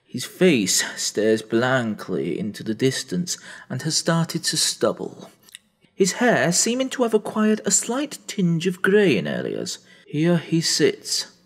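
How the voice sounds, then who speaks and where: A man narrating a dramatic audio story in a theatrical, brooding manner, with heavy pauses. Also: a little high, wildly swooping pitch.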